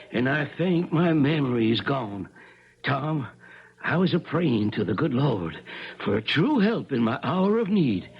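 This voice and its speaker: A troubled old country preacher, high-pitched and swooping in his speech. He is pious, halting and quick to temper, heard in an old, dull radio drama recording. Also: slightly rough, full character voice. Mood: confused, frail. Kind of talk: 1970s radio drama acting. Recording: dull lo-fi 1970s radio broadcast.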